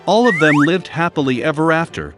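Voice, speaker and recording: A man's voice: on the high side, animated, fairly quick. A man reading a scripted fairy tale for children as a storyteller. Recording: soft music behind the voice. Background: background music.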